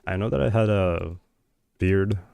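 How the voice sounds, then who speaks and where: Guy on stream muttering to himself while working, slow, lots of "like" and "um", smooth clear voice. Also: low voice, dark tone. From Georgia. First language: Georgian. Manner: hesitant, many fillers and trailing thoughts. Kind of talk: thinking aloud while working on a live stream.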